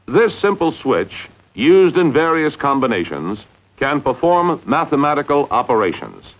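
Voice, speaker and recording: A man narrating a 1950s instructional training film in a measured, deliberate way with emphatic enunciation. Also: medium pitch, sing-song. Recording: dull, lo-fi 1950s film soundtrack.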